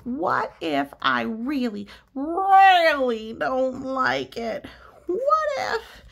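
Woman voicing a dramatic, anxious, high-pitched storybook pigeon. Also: big pitch swings, gravelly, heavily acted. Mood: panicked. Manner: drawn-out, stretched, emphatic words. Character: anxious, dramatic, whiny young cartoon pigeon. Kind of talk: storybook read-aloud character voice.

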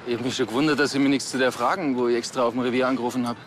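Casting: man as cooperative diving instructor, brisk, mid-pitched, natural, faint outdoor noise, TV series. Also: fairly even intonation, natural voice. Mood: puzzled. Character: puzzled, cooperative male diving school owner. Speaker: actor in a police comedy series.

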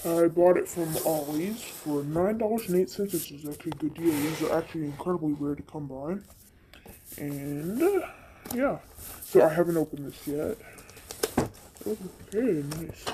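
Dark, voice-changed unboxing vlogger. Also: mid-range voice, moderate pace, slight background noise, rough recording. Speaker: video creator presenting an unboxing. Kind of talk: casual chat. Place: indoors at a tabletop.

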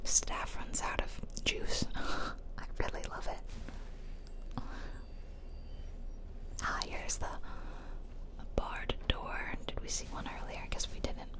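A woman speaks in a soft, steady whisper, very close to the microphone. She is chatty and gentle, in the manner of an ASMR host talking a viewer through a game. Her voice stays hushed and airy, with little solid tone under the breath. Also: takes it slowly, long pauses. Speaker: gaming video host. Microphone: close microphone, ear to ear.

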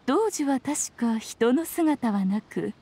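High-voiced, lordly fox spirit lady. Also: expressive, slow, warm tone, breathy edge, heavily acted. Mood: composed, reflective. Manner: archaic, lordly old-fashioned speech, teasing and self-assured. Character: playful, commanding ancient fox spirit lady. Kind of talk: Japanese anime game voice acting.

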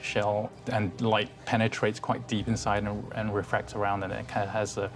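Man, somewhat low pitch, interview talk, background music. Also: little pitch movement, moderate pace. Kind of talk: behind-the-scenes interview. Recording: music playing behind the voice.

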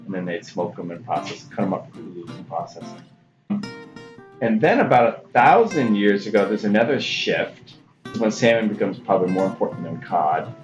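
Middle-aged man, low voice, museum curator, roomy muffled sound, guitar music. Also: a little fast. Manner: lively, explanatory, with fillers. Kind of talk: explaining artifacts. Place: indoors, at a table in a museum workroom.

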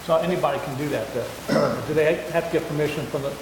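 A man's voice: on the high side, fast talker. A man on a city council asking casual, rambling questions during a meeting. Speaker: council member. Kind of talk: meeting discussion. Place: large wood-paneled meeting hall. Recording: echoey hall, noisy room.

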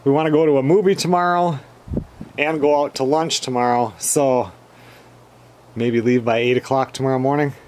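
A middle-aged man narrating a casual outdoor vlog at a lakeside camp in the woods, talking in a relaxed, matter-of-fact way. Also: medium pitch, normal speed, slight background noise. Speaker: vlogger.

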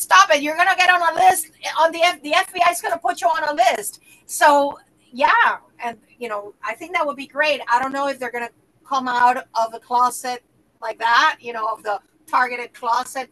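A woman's voice: fairly high pitch, bright, forceful tone, low-quality recording. A middle-aged woman co-hosting a podcast over a video call, speaking with passion and emphasis from a small room. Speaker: podcast co-host. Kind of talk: casual video-call chat.

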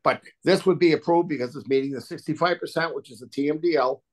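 A man's voice: on the high side, fast talker. Plain-spoken man explaining technical matters. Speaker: water and sewer agency official at a hearing. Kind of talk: informal technical explanation at a public meeting. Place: remote video call.

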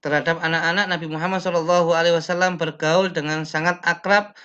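A young man from Indonesia, a teacher, reads lesson slides aloud at a slow, measured pace, pausing and filling gaps with "eh". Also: fairly high pitch, level tone, pushed and bright, clear, resonant tone, dull audio. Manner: slow, measured reading with pauses and fillers. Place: indoor room.

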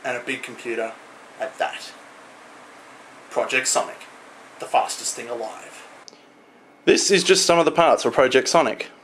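Young adult man, mid-pitched voice, informal vlog delivery, explanatory, halting phrasing, camera mic indoors. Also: animated, a bit bright, a little hiss or hum, low-quality recording. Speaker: tech vlogger. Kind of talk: casual product showcase to camera. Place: small home room, indoors.

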